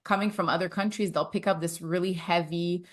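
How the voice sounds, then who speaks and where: Lower-pitched woman hosting. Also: fairly even intonation, a little fast. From Quebec, Canada. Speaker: host, speech-language pathologist. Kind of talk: casual conversational interview.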